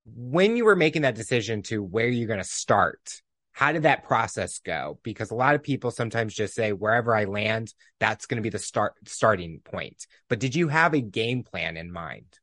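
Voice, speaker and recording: A man's voice: fairly low pitch, expressive, moderate pace, fairly bright tone, no echo, clean studio sound. A man hosting a podcast, putting interview questions to a guest. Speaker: podcast host.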